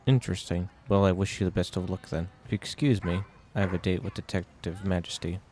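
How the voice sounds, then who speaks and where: A man's voice: low-pitched, little pitch movement, fairly quick, a little mellow. A man playing a formal, grave informant who delivers emphatic dramatic exposition in a horror drama. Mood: formal, insistent. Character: formal, grave male informant recounting a madman's crimes. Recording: music playing behind the voice.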